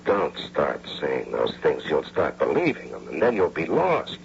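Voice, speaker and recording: A man's voice: rumbling low, huge pitch swings, a little slow, gravelly, full character voice. A man acting in a radio drama as a scheming, bitter husband, insistent and persuasive, repeating himself for emphasis. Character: scheming, bitter, persuasive husband and electrical engineer turned would-be robber. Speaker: actor narrating and playing the lead. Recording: muffled, noisy old radio broadcast.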